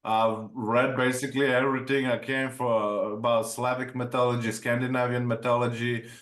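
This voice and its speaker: A man's voice: mid-range voice, little pitch movement, moderate pace, a bit bright. A man from Bulgaria hosting a podcast interview on a video call, casual and rambling, with frequent stumbling restarts. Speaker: podcast host. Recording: echoey room.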